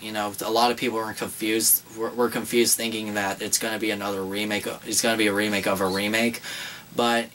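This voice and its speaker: A man's voice: lowish voice, a bit bright, far from the mic, slight background noise. A young man co-hosting a casual horror movie chat in a small room, rambling with many fillers and restarts. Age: young adult. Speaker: horror channel co-host.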